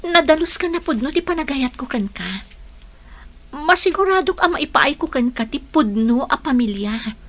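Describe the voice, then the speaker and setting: Radio drama lead: devoted young wife, Filipino accent, high-pitched and forceful, emotional, hopeful. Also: female voice, animated, moderate pace. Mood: pleading. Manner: earnest, emotional. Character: lovesick, devoted young bride, pleading and hopeful. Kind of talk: Ilocano radio drama acting.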